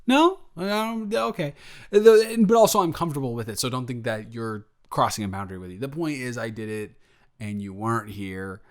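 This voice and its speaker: A man's voice: mid-range voice, fairly quick, lightly acted. A man playing a sheepish, good-natured roommate in an improvised roleplay audio, talking casually with hesitant, stammering repeats. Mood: flustered, explaining. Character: sheepish, awkward, good-natured male roommate, secretly smitten.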